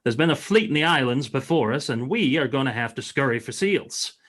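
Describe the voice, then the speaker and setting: A fatherly older fleet captain in a radio play, acted by a man. He speaks at medium pitch, with measured authority. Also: animated, a bit bright. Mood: grave, commanding.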